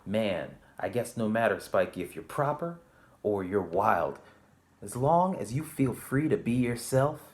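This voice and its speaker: A man's voice: lowish voice, expressive, measured pace, darkish tone. A man reading a picture book aloud to children with a polite, theatrical delivery and chatty asides. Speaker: library storytime presenter.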